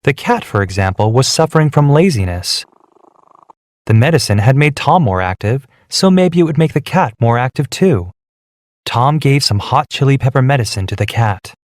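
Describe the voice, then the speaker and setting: A man narrating a scripted children's story in a clear, measured way. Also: mid-range voice, lively intonation, no echo, studio quality. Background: music.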